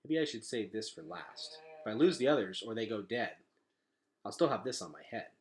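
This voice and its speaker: A man's voice: on the low side, sing-song, normal speed. A man voicing a practical, cautious boy who is a protective big brother, in a plain, casual explaining way. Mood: musing.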